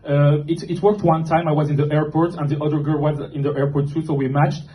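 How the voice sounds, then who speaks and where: A young man from Morocco, doing a self-deprecating stand-up set in a comedy club, deadpan and halting with fillers. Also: on the high side. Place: comedy club with live audience. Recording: noisy club recording. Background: audience laughter.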